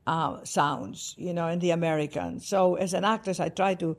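A woman from Greece with a Greek accent, a spiritual author speaking in a warm, effusive and emphatic way as a podcast guest. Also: on the low side, moderate pace. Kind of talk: heartfelt interview talk. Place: Cartagena, Colombia.

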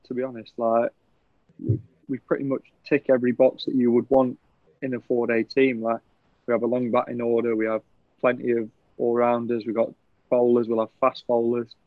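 A man's voice: medium pitch, moderate pace, no room sound. Young sportsman as podcast guest, easygoing and chatty, muffled dry recording. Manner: relaxed, chatty, laughs often. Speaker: professional cricketer guest. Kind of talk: casual podcast interview. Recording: dull, lo-fi, dry sound.

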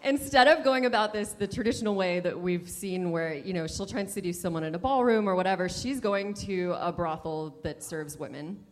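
A woman's voice: fairly low pitch, a little fast, hardly any pauses. A woman chatting casually and enthusiastically about books as co-host of a podcast, speaking informally to a live audience. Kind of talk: casual book chat before a live audience. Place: indoor hall at a live podcast event. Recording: echoey hall, live event.

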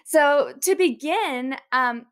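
A woman's voice: high voice, slow pace, fairly bright tone. A woman hosting a casual podcast interview, with an effusive, informal way of talking. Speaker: podcast host.

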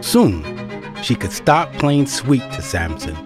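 A man's voice: medium pitch, very animated, takes it slowly. A man narrating an audio drama as scripted, dramatic storytelling. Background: violin and string music.

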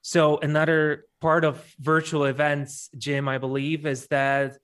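A man's voice: fairly high pitch, flat delivery, a little slow, fairly bright tone. A man with a Polish accent answering interview questions conversationally, often repeating words as he works out his explanation. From Poland. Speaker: event MC, interview guest.

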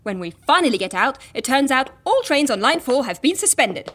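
A woman's voice: sits high, very animated, fairly quick, a bit bright, full character voice. An actress playing a flustered, put-upon woman with an exasperated, complaining manner, in an audio drama.

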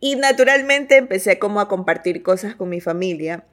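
A woman's voice: mid-range voice, a little fast. Laughing coastal Ecuadorian woman. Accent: coastal Ecuadorian (Esmeraldas). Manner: laughs often, animated storytelling. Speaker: guest. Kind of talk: casual interview chat.

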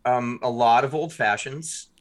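A man being interviewed as a guest, telling stories casually in a self-deprecating, animated way with quoted asides. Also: mid-range voice, a little slow.

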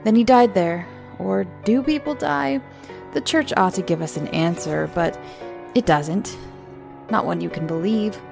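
A woman's voice: medium pitch, measured pace, creaky, music in the background. A woman voicing a crazed, bitter old woman in her seventies or older who rambles about the dead. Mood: eerie, brooding. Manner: rambling, fixated.

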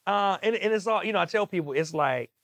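A man from the Maryland area with a Southern accent, hosting a podcast interview, talking fast and enthusiastically. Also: sits high, expressive, fairly bright tone, dry recording. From Maryland, DMV area. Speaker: podcast host.

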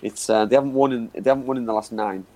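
A man's voice: medium pitch, steady tone, quick pace, dark tone. A man giving football analysis on a chat show, often repeating words and saying you know what I mean. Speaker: football pundit.

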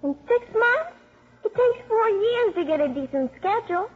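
A woman's voice: very high voice, animated, normal speed. An actress playing a streetwise, cheeky schoolgirl with slangy, matter-of-fact talk in a 1950s radio drama. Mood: cocky. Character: streetwise, precocious schoolgirl, brash and unimpressed by grown-ups. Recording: muffled 1950s radio broadcast.

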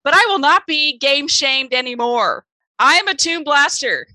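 A woman whose first language is German, co-hosting a podcast in casual, chatty conversation, self-deprecating with quick asides. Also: high voice, expressive, pushed and bright, rough recording. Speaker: podcast co-host, author. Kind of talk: casual chat.

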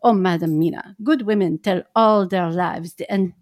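A woman's voice: lowish voice, a little slow, a little mellow, lightly acted. A woman voicing an earnest, effusive old Dutch professor who speaks in broken, formal English. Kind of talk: reading a novel aloud in roles.

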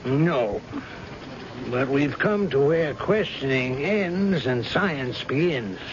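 An actor playing a patient senior police detective, deliberate and explanatory, in a 1970s radio drama style. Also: male voice, medium pitch, animated. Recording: dull, noisy old radio broadcast.

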